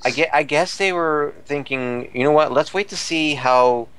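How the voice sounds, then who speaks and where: A man's voice: fairly high pitch, normal speed, a bit bright, clean tone. A man co-hosting a casual fan chat show. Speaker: podcast co-host.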